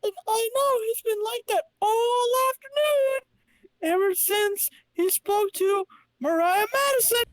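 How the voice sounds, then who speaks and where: A man's voice: very high voice, measured pace, no echo, pitched above the performer's own voice, poor audio. A man voicing a flustered young woman who works as an office secretary in a comic-book reading. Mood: gossipy.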